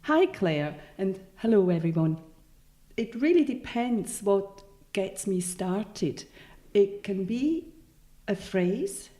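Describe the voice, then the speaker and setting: A Swiss-born woman with a Swiss German accent, a novelist answering interview questions in a thoughtful, halting way that often corrects itself. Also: medium pitch, expressive, warm tone. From Switzerland. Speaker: novelist guest.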